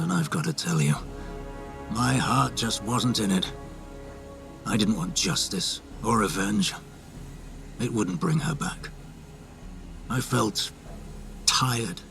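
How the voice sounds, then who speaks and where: Game role: battle-weary but determined fighter, creaky low-leaning tone, conversational, understated, sincere. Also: male voice, fairly bright tone. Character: weary but resolute freedom fighter, earnest, plain-spoken. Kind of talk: dramatic video game monologue.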